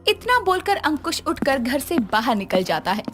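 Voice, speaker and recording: High-pitched, lively woman storyteller. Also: normal speed, soft background music. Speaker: narrator. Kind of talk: scripted storybook narration.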